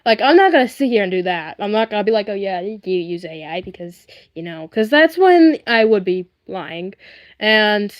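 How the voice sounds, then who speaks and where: A woman's voice: medium pitch, lively intonation, moderate pace. A woman hosting a casual livestream, talking in a rambling, earnest and emphatic way and often repeating herself. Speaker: livestream host.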